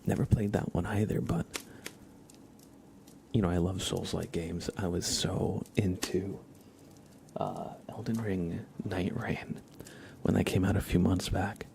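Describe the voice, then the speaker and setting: A man hosting a casual ASMR livestream, chatting in a soft, breathy, hushed whisper with an unhurried, rambling delivery. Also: sits low, fairly even intonation, noisy background.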